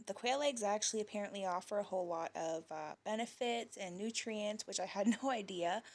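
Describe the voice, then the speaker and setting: A young woman talking casually about her kids' lunches, chatty with small laughs, her voice slightly worn. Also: mid-range voice. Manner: chatty, laughs lightly, fillers like um and uh. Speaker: parent showing kids' lunches. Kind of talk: casual voice-over vlog.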